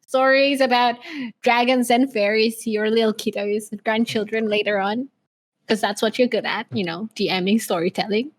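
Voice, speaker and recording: A woman's voice: a little high, normal speed. A woman in her twenties with an accent that wavers between Australian and American, co-hosting a casual podcast chat with lots of laughter and swearing. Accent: Australian mixed with American. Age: 20s. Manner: swearing, laughing often, lively banter. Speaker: podcast co-host.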